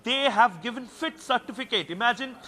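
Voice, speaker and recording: A male senior editor reports live from a newsroom. His voice is high and sharp, and he explains emphatically, often halting and repeating himself. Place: regional television newsroom.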